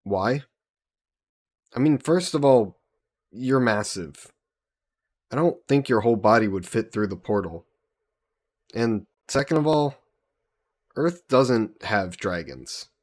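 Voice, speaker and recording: A man voicing an easygoing, teasing young adventurer hero in an intimate fantasy roleplay audio drama, casual and gently coaxing. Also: medium pitch, expressive, slow pace, pauses often. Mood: persuading, casual. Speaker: voice actor.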